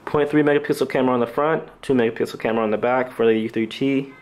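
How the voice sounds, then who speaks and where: A man giving an unscripted product review, speaking in a hesitant, halting, off-the-cuff way as a channel host. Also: mid-range voice, fairly even intonation.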